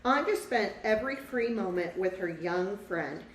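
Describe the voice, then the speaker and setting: A woman's voice: medium pitch, animated, moderate pace. A woman teacher reading a picture book to children expressively, with simple, casual explanations along the way. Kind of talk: children's read-aloud with casual asides to the class. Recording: roomy echo, distant mic, faint background noise.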